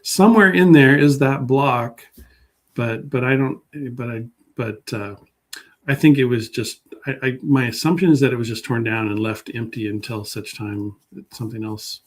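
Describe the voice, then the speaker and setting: A man speaks as a guest in a casual interview. His voice is of average pitch. He is unassuming and halting, working out his thoughts as he goes. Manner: halting and unassuming, thinking aloud. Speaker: guest public historian.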